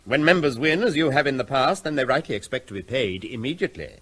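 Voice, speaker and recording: Lively-pitched, menacing debt collector man. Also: quick pace, slightly rough. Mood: coolly threatening. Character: coolly menacing male gambling-club debt collector. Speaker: actor in a radio play. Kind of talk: radio drama acting.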